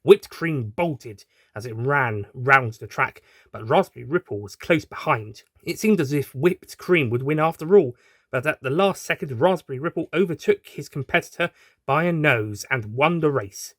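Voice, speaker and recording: A man's voice: mid-range voice, animated, normal speed, a bit bright, no room sound, clean studio sound. A man reading a scripted audio story as its narrator. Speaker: writer and narrator.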